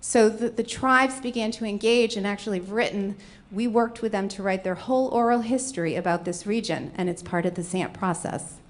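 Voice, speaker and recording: A middle-aged woman with a Rhode Island accent speaks as a conference panelist. She explains her slides one at a time in an even, mid-range voice. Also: mid-range voice, moderate pace. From Rhode Island, USA. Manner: explanatory, walking through slides. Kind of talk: conference presentation.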